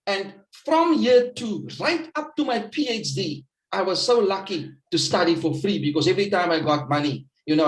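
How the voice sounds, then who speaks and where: A man's voice: sits high, echoey room, low-quality recording. A man with a South African accent whose first language is Afrikaans, telling his life story earnestly in an interview, repeating himself and saying "you know" often. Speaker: guest educator.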